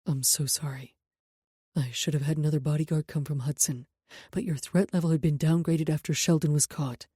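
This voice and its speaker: A woman reading the part of a protective male bodyguard, speaking low and calmly to reassure. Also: fairly quick, breathy edge, no room sound, clean studio sound. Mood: tender.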